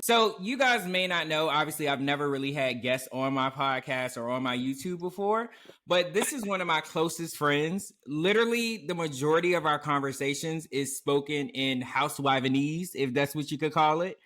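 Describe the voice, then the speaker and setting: A man hosting a casual podcast chat, talking with animated energy and often quoting others in a raised voice. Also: a little high, normal speed. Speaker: podcast host. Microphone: remote recording setup.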